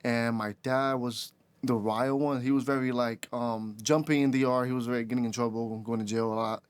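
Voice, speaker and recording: A man's voice: mid-range voice, fairly even intonation, smooth, dry recording. Young guy on a podcast, stops and restarts a lot, dry studio sound. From Dominican Republic. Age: 20s. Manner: halting, full of restarts and "like". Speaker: podcast guest, rideshare driver. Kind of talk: casual interview chat.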